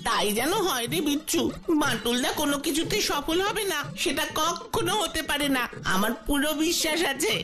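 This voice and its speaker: A woman plays a young boy sidekick from a kids' cartoon. The voice is high, eager and earnest, full of trust. Music plays clearly underneath. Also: moderate pace, lightly acted. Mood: anxious, hopeful.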